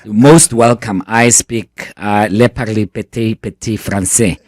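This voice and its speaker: A man with a South African accent hosting a radio interview in the studio, relaxed with frequent filler words. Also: lowish voice, measured pace, no room sound. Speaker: radio host.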